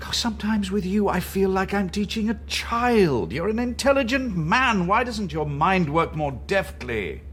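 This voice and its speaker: An actor playing a calm, patronising interrogator in a radio drama, measured and condescending, with mock-kindly menace. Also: male voice, high voice, slight background noise, full character voice. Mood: exasperated, lecturing. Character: calm, patronising male interrogator of a totalitarian regime. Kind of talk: naturalistic radio drama acting.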